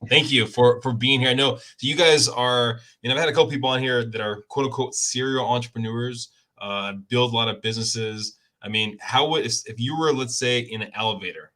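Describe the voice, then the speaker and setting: A man's voice: medium pitch, brisk, hardly any pauses, pushed and bright. A man hosting a podcast, joking and rambling with many restarts as he sets up questions. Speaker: podcast host. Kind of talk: casual interview chat.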